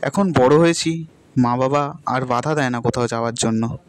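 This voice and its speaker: A man's voice: medium pitch, moderate pace. A man narrating a first-person story as a storyteller. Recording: loud plucked-guitar music behind voice. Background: guitar music.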